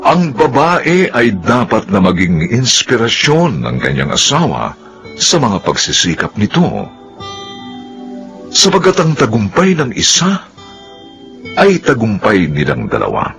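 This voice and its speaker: A man's voice: medium pitch, wildly swooping pitch, a bit bright, soft background music. A male announcer formally introducing a radio drama with a moral message. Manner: slow, declamatory.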